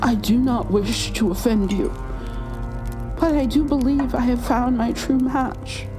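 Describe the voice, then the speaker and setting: A woman playing an elegant, passionate vampire noblewoman in an ASMR roleplay, with a formal, earnest and deliberate delivery. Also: a little high, music in the background, natural voice. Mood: deferential, earnest.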